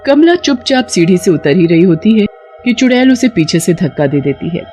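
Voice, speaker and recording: A woman's voice: on the low side, very animated, normal speed, a little mellow. Woman narrating a cartoon story over music.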